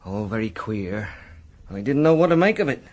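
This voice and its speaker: Rustic English gamekeeper. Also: male voice, fairly low pitch, sing-song, moderate pace, a little mellow. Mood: grave. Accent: rural English. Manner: rustic, matter-of-fact, respectful. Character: plain-spoken, deferential rural estate gamekeeper. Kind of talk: period radio drama acting.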